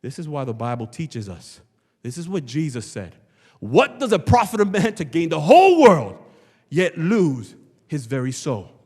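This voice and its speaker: A man's voice: fairly high pitch, wildly swooping pitch, moderate pace, a little mellow, slightly rough. A male pastor preaching a church sermon, emphatic and rhetorical, repeating phrases for effect. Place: large church hall, before a congregation. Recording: long hall reverb, church sanctuary PA.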